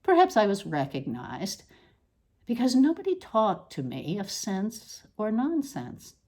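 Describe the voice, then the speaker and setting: Female narrator for literary essay, dark relaxed tone, deliberate delivery, highly varied intonation. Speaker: essay reader.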